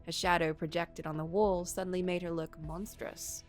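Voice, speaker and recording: A woman streamer reading a story aloud with expressive narration. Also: fairly low pitch, normal speed, soft background music.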